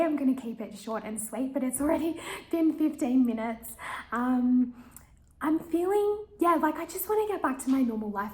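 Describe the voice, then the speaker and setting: A woman, talking softly and candidly in a personal video diary. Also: high-pitched. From Australia. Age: 30s. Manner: soft, reflective, candid. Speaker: vlogger. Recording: echoey room.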